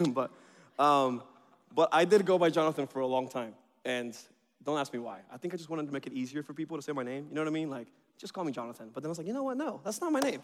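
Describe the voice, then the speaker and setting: A man in his thirties from Venezuela, whose first language is Spanish, preaching in a chatty, joking way with bursts of excitement. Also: fairly high pitch, fast talker. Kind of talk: informal sermon introduction. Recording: reverberant hall.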